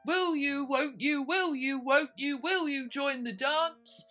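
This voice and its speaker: A woman performs a sad storybook turtle creature in verse. The delivery is high, very slow and lilting, like a mournful nursery song. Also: a bit bright, soft background music.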